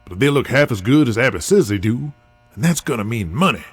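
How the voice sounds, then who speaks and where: A man's voice: medium pitch, lively intonation, fast, slightly rough. A man playing a brash, persuasive wrestling booker with big plans in an audio drama, talking in a folksy, salty way. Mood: pushy. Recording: music bed under the voice.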